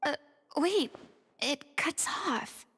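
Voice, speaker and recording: A woman's voice: high-pitched, expressive, very slow, a bit bright, exaggerated voice. A woman playing a theatrical, self-assured young woman in an anime video game, speaking articulately and decisively. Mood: somber.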